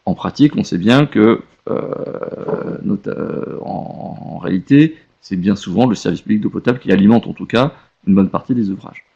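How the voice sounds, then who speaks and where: A man giving an explanatory webinar presentation in a hesitant, halting way, often rephrasing, over a web conference connection. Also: on the low side, vocal fry, dark tone. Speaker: webinar presenter, legal expert. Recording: dull lo-fi web conference audio.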